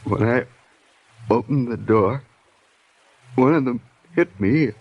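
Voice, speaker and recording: An actor playing a badly injured watchman in an old radio drama, weak and in pain, forcing out halting, groaning fragments. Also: medium pitch, breathy edge. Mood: weak, straining. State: weak, in pain, struggling to speak. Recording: muffled vintage radio recording.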